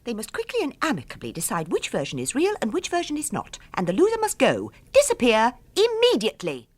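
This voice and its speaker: Indignant wife, high husky voice. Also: female voice, huge pitch swings, a little fast. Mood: decisive, cross. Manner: emphatic, prim, insistent. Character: indignant, exasperated wife refusing a spare husband. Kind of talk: British radio drama acting.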